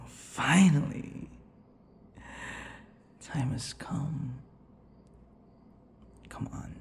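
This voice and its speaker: A man voicing an obsessive, menacing, centuries-old vampire lover in an ASMR roleplay, breathy and dramatic, often dropping into whispers. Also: medium pitch, darkish tone. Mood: lovesick, elated. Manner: slow, dramatic, swinging between tender and unhinged. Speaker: audio roleplay performer. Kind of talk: ASMR boyfriend roleplay acting. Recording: echoey long reverb, faint noise.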